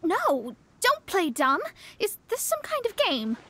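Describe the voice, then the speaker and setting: A woman's voice: very high-pitched, wildly swooping pitch, slow, exaggerated voice. An actress voicing a proud young female astrologer in a video game, speaking in a haughty, self-assured way. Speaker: game character. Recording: game audio with soft music.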